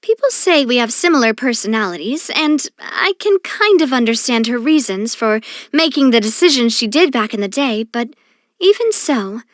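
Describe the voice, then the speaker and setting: A woman playing a cheerful, caring young leader in a video game, with an expressive, friendly, earnest and chatty delivery. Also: a little high, moderate pace, no room sound, clean studio sound, natural voice. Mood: earnest, resolute. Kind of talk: video game character voice acting.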